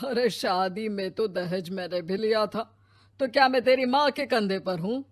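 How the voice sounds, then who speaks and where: A woman's voice: medium pitch, normal speed, no echo, clean studio sound, close to an everyday voice. A woman voicing a middle-aged pensioner father in a cartoon story, plain-spoken and homely, content but a little wistful.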